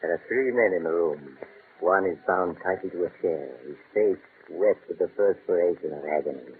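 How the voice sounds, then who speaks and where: An actor playing a sinister, mocking male horror host who narrates in a slow, insinuating way, in a 1940s radio drama. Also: low-pitched, lightly acted. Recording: tinny old radio broadcast, faint hiss.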